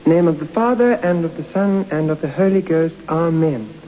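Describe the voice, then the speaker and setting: A man's voice: a little high, normal speed, close to an everyday voice. An actor playing a disturbed man regressed to a petulant small child in an old-time radio drama. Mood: childlike, praying. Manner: childlike, sing-song praying and whining. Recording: muffled old radio recording, faint hiss.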